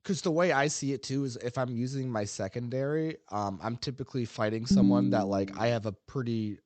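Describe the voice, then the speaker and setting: A man co-hosts a laid-back podcast and talks through game details in a rambling way. He fills his pauses with plenty of ums and uhs and laughs easily. His voice sits at an ordinary pitch, and the recording is dry and close, with no room sound. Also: darkish tone, dry recording. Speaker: podcast co-host. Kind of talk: casual podcast chat about a game.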